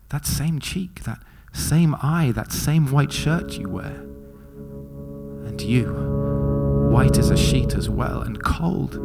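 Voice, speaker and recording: A man voicing a grieving, frightened village girl in a pleading, breathy dramatic poetry performance. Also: mid-range voice, pitched above the performer's own voice. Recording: loud music under the voice. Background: music.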